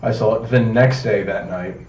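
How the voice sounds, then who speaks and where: A young man chatting casually as a podcast fan, emphatic, slangy and quick to swear. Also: lowish voice, a little mellow. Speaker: podcast participant. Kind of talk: casual chat, fan discussion. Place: echoey room, off the mic. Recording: roomy, distant, dull, faintly noisy.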